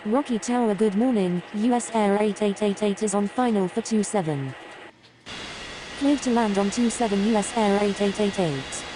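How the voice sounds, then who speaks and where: A woman's voice: medium pitch, normal speed, background noise, close to an everyday voice. A woman voicing a businesslike airline radio operator in a game, speaking clipped, formulaic procedural phrases. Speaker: air traffic radio voice in a simulator game.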